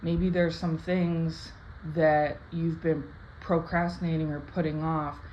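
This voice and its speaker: A woman casually interpreting a dream for her viewers, her voice slightly raspy from just waking up, rambling with many "like" and "right?" tags. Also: deep voice, little pitch movement, slow pace, a little mellow. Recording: dull lo-fi sound with faint background hiss.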